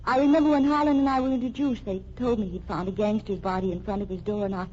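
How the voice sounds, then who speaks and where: Muffled old radio drama villainess played by an actress. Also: female voice, medium pitch, steady tone, quick pace, warm tone, lightly acted. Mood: indignant, flustered. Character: cunning married murderess, indignant then coolly menacing. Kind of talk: mystery radio drama acting.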